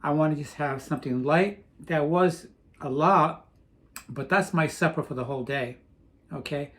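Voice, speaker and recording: A man's voice: fairly high pitch, moderate pace, a bit bright. Rambling man in a casual vlog. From Portugal. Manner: rambling, with restarts. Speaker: vlogger. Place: home in Florida.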